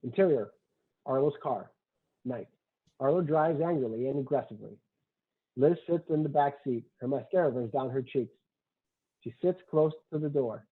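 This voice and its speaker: A man's voice: medium pitch, normal speed, long pauses, slightly rough. A man who wrote a screenplay, reading its stage directions and talking as narrator of the table read. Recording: tinny, phone-like call audio.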